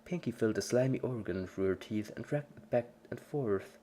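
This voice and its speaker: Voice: medium pitch, normal speed, warm tone, a little hiss or hum. A narrator giving a scripted dramatic reading of a story in the third person. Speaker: fan fiction narrator.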